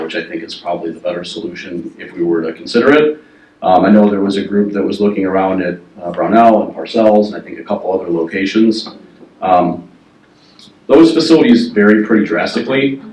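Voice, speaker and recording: A man working as a facilities planning consultant, giving a measured, explanatory presentation at a meeting with frequent ums and uhs. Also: low-pitched, a little mellow. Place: meeting room. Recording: echoey room, voice from far off the mic.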